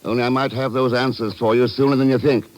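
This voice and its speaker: An actor in a vintage radio drama playing a cool, shrewd secret agent, composed, dry and clipped. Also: male voice, fairly even intonation, quick pace, close to an everyday voice. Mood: assured. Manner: clipped, composed, dryly questioning. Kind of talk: 1940s-50s radio drama acting. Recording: noisy vintage radio recording, engine rumble.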